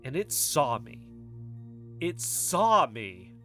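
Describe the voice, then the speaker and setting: A man's voice: mid-range voice, sing-song, very slow, sharp tone, faint music behind, full character voice. A man playing a paranoid, agitated investigative podcaster in an audio drama, speaking with urgent insistence. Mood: intense, paranoid. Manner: urgent, insistent, dwelling on suspicions. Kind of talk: audio fiction podcast dialogue.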